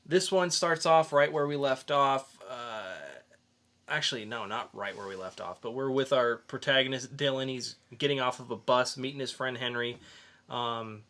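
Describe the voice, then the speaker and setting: A man hosts a casual podcast with a bright, forceful voice at an average pitch. He talks informally and rambles through his retellings, swearing freely as he reviews. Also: normal speed. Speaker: podcast host and comic reviewer.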